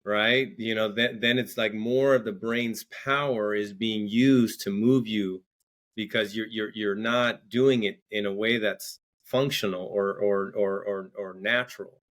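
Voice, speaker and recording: A man's voice: on the low side, fairly even intonation, unhurried, a bit bright. A man speaking as a podcast guest, explaining things conversationally and often repeating words as he goes. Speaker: podcast guest, entrepreneur and coach.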